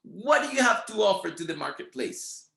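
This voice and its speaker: A man's voice: high voice, big pitch swings, moderate pace, gravelly, fairly bright tone, roomy sound. A man whose first language is Spanish, speaking with a Spanish accent and giving a motivational training talk in an emphatic, repetitive, preacher-like rhythm. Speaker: network marketing trainer.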